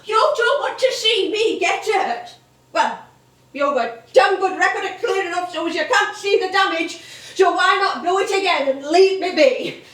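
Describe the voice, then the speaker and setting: An actress playing a feisty, chatty old music-hall comedienne with a Northern English accent, in a bantering stage-play style. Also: female voice, very high voice, expressive, normal speed, fairly bright tone. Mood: defiant, indignant. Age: older. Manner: colloquial, bantering, theatrical. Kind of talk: live stage play acting. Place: theatre stage, recorded from a distance. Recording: roomy stage recording, distant mic.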